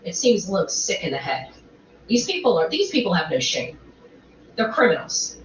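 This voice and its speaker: Low-voiced woman rambling in a roomy space. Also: very animated. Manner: halting, repetitive, emphatic. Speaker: online commentator. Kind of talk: livestream commentary, rambling. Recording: roomy, muffled, faint background noise.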